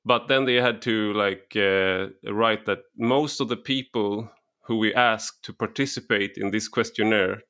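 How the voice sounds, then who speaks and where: A man from Sweden talking casually as a guest, halting, with many fillers and restarts. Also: fairly low pitch, pushed and bright. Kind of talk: casual conversation.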